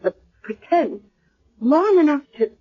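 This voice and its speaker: A woman's voice: high voice, big pitch swings, unhurried. An actress playing an earnest, worried woman doctor in a 1970s radio drama. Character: concerned woman hospital doctor. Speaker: hospital doctor. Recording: dull lo-fi vintage radio recording.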